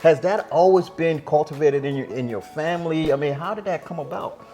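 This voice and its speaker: A man's voice: a little high, fairly quick, warm tone. Young male host, slangy, music behind. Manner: friendly slang-heavy banter. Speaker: interviewer host. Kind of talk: casual interview. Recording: music clearly under the voice.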